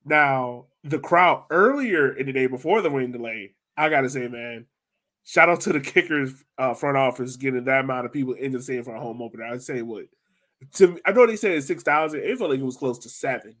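A man's voice: mid-range voice, brisk, a bit bright. A man hosting a casual sports podcast, talking in an informal, colloquial, emphatic way. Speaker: podcast host.

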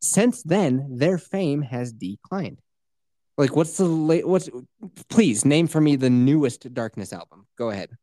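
A man co-hosting a podcast, talking in casual, profane comedy banter with a joking, mock-boastful and animated manner. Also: a little high, measured pace, no room sound. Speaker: podcast co-host.

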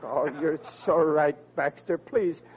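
High-voiced, bumbling radio husband. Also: male voice, slow pace. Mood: desperate pleading. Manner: stammering, informal, slangy diction. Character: anxious, bumbling, pleading working-class family man. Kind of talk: 1940s radio comedy acting. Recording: dull old radio recording.

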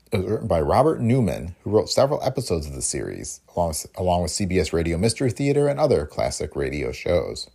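Man, podcast host, gravelly, husky, dark tone, reading an intro. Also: deep voice.

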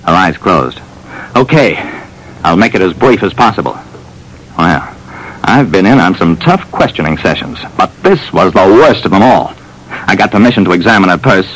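A brisk hardboiled detective. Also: male voice, fairly low pitch, rough recording. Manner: brisk, clipped, self-assured. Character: hardboiled male private detective narrator. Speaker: narrator and lead. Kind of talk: 1940s radio acting.

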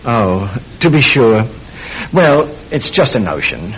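Animated, inquisitive young nephew, old radio. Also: male voice, takes it slowly, full character voice. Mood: tentative, keen. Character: eager, inquisitive young nephew, amateur sleuth. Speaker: actor in a radio play. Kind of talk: classic radio drama acting. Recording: dull, rumbling, noisy old radio recording.

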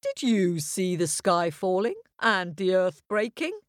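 A woman voicing a majestic male lion who speaks slowly in a lowered, commanding voice. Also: sing-song, dry recording, studio quality. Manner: slow, deep and booming.

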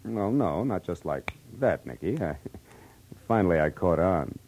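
A man's voice: sits low, expressive, a little slow, a little mellow, a little hiss or hum, low-quality recording. An actor playing a wry, hard-boiled American private eye who talks in easygoing tough-guy slang in a 1940s radio drama. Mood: relaxed, wry. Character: hard-boiled, easygoing male private eye, narrating his own case. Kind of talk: 1940s radio detective drama acting and narration.

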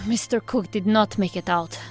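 An actress playing a serious, matter-of-fact federal agent in a science fiction audio drama, speaking in formal, precise phrases. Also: female voice, medium pitch, moderate pace, faint music behind, close to an everyday voice.

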